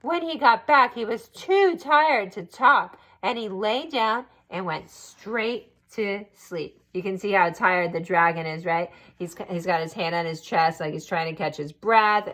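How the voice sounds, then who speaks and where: A woman's voice: mid-range voice, a little slow, a bit bright. A woman reading a picture book aloud to children expressively, adding asides to her listeners.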